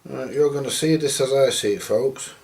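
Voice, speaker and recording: A man giving casual, hands-on workshop commentary as host of a repair video, chatty and halting as he thinks aloud. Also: mid-range voice, darkish tone.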